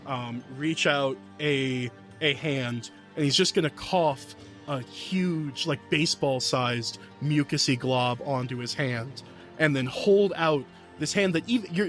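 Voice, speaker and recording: A man's voice: medium pitch, fairly bright tone. A man casually improvising narration as a player in a tabletop role-playing game. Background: faint music.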